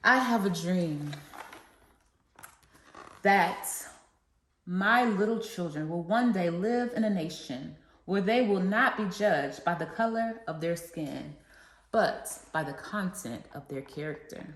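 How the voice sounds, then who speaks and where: A woman with a slightly low voice reads aloud for children in an echoey, reverberant room. She works as an educator leading a read-along, moving between oratorical reading and simple explanation. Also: animated, slow pace.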